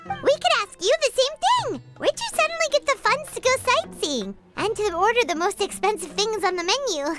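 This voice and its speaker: A woman voicing a tiny, excitable, cheeky fairy companion with a squeaky childlike voice in an anime game. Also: moderate pace, full character voice. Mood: indignant. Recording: music behind the voice.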